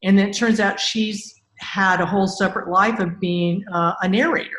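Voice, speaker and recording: A woman's voice: mid-range voice, fairly even intonation, moderate pace, fairly bright tone. A woman hosting a casual interview, talking in a chatty, rambling, conversational way. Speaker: podcast host, interviewer. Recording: echoey room sound.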